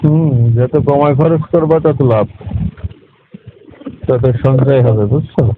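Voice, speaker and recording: A man's voice: medium pitch, takes it slowly. A man with a Bangladeshi accent chatting casually and intimately on the phone in a placating, hesitant manner. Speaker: husband working abroad. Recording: tinny phone line with clear noise.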